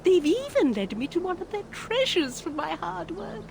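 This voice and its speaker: A woman's voice: very high voice, big pitch swings, normal speed, creaky, a little mellow, slight background noise, full character voice. An actress playing an eerily sweet, deluded woman devoted to fairy sacrifice in a video game, with a politely menacing, sing-song manner. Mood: sweetly menacing. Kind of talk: video game character acting.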